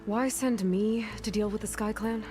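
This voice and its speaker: A woman's voice: mid-range voice, steady tone, moderate pace, over music, natural voice. A young woman playing a self-assured, wary warrior heroine in a video game, direct and dry in delivery. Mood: probing. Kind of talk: naturalistic video game acting.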